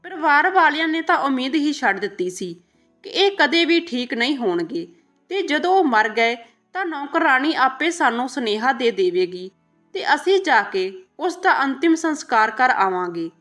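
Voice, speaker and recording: A woman storyteller reads a scripted moral tale. Her voice is high, and her pitch moves expressively up and down. Soft music runs behind her narration. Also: very animated, normal speed.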